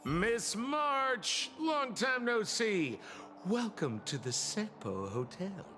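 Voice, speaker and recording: A sly hotelier and con artist for a video game. He drawls slowly and theatrically in a smug, highish voice, with long reverb around him. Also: big pitch swings, sharp tone, heavily acted. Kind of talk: acted video game dialogue. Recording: reverberant, with music behind.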